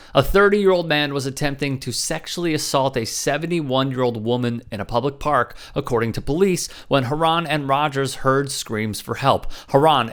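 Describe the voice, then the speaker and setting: A man hosting a sports news show, reading a story in a steady, measured way. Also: mid-range voice. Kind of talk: news commentary read from script.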